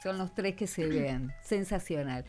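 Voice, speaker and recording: A woman's voice: lowish voice, measured pace, a little mellow, faint music behind. A woman with a Rioplatense Argentine accent hosting an informal radio conversation with children, encouraging and conversational. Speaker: radio host. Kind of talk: informal educational radio interview with schoolchildren.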